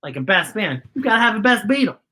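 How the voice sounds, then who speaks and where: A woman's voice: mid-range voice, big pitch swings, fast talker, pushed and bright. A woman voicing a bossy, self-important beetle in a picture-book read-aloud, speaking insistently.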